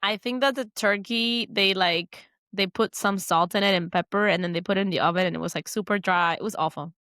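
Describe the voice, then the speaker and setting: A woman's voice: mid-range voice, fairly even intonation, a little fast, pushed and bright, no echo. Woman podcast co-host, chatty and rambling, dry close recording. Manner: chatty, many fillers and restarts. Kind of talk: casual chat.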